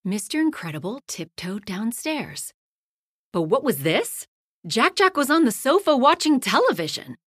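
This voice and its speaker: A woman reading a children's picture book aloud, hushed at the bedtime lines and louder in the action. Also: on the high side, no echo, studio quality. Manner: slow, lively storytelling, hushed at bedtime lines and loud in action. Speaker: storybook reader.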